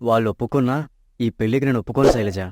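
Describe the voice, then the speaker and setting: A man's voice: medium pitch, fairly even intonation, rapid-fire, noisy background, close to an everyday voice. An actor playing an honest, poor young man in love in a storybook tale, earnest and troubled.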